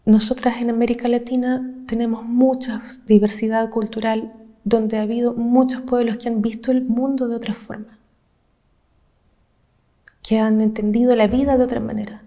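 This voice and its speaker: A woman from Chile, an activist speaking earnestly and with passion, laying out her points in measured, listed phrases. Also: fairly high pitch, dark tone. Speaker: social movement activist. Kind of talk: reflective interview talk.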